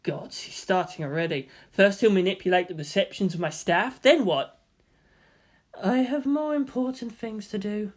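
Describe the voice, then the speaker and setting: A woman acts out a stern female scientist for a story reading. The voice is mid-pitched, with an irritable temper and curt, sardonic delivery. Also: normal speed, lightly acted. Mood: irritated.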